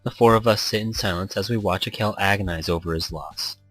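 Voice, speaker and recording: A man with a fairly low voice reads a visual novel game aloud as a let's play host. His storytelling is playful and a little theatrical, and music runs underneath. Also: fairly quick, a bit bright, husky. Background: background music.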